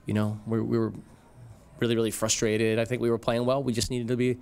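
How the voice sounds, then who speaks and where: A middle-aged man, a football head coach, speaking in a measured, reflective way at a postgame press conference podium. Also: mid-range voice, level tone, gravelly, darkish tone, clear, resonant tone. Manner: measured, reflective, frequent fillers. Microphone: podium microphone.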